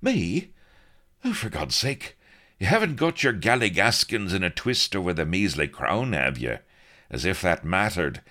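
A man voicing a cynical, wisecracking rogue who talks in a flippant, sarcastic way, his voice pushed high above his own. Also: big pitch swings, unhurried, bright, forceful tone, heavily acted. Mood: exasperated.

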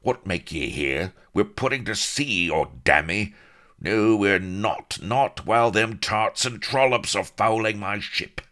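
A man's voice: fairly low pitch, expressive, unhurried, a bit bright, voice pushed up. A man voicing a blustering, grizzled old sea captain who bellows oaths. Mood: roaring. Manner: bellowing, oath-laden, gruff.

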